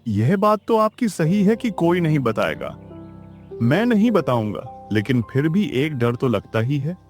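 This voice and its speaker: Man playing a very animated, timid poor student. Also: on the high side, unhurried, soft background music. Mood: worried. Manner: pleading, deferential. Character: poor, timid, deferential young male student. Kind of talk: children's moral story voice acting.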